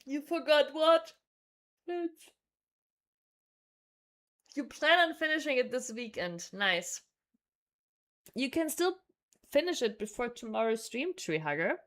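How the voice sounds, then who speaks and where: A woman chatting casually as she streams a game, informal and reactive, thinking out loud. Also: sits high, lively intonation, slow, pauses often. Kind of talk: casual live gameplay chat. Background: game music.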